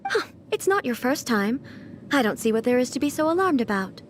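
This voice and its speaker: Composed, thoughtful goddess ruler. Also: female voice, high-pitched, sing-song, a little hiss or hum. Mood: calm, unbothered. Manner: measured, formal, composed. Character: composed, contemplative female deity who rules. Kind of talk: video game voice acting.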